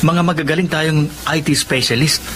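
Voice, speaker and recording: A man playing a high-voiced, eager young Filipino student. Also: steady tone, moderate pace, fairly bright tone, lightly acted. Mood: earnest, hopeful. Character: eager young provincial student, cheeky, big dreamer. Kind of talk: Filipino radio drama acting. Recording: noisy radio drama with ambient effects.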